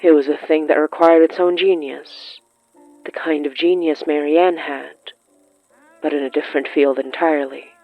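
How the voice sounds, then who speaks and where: A narrator reading scripted horror fiction in a deliberate, literary way with dramatic pauses. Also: mid-range voice, fairly even intonation, no room sound, dull audio.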